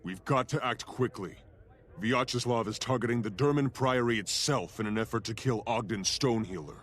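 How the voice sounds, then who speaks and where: A man's voice: mid-range voice, moderate pace, a bit bright, natural voice. An actor, a man, playing an urgent, determined fantasy hero in a video game, earnest and direct.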